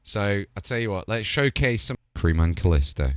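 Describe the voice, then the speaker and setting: Chatty, low-voiced male host. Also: lively intonation, moderate pace, clean tone, no echo, clean studio sound. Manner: chatty and enthusiastic, with filler like "kinda". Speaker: host showcasing game voice packs. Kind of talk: enthusiastic casual presentation.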